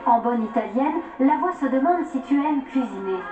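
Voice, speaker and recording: A woman's voice: sits high, expressive, normal speed. A woman acting as a TV show's offscreen voice, asking formal questions with dry humor. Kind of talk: scripted TV interview questions. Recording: distant, roomy, muffled TV audio with music.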